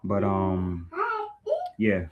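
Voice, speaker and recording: Relaxed man on a video call. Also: low-pitched, extremely slow, phone-quality sound, a crowd in the background. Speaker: new recruit, brand ambassador. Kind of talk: casual Zoom introduction.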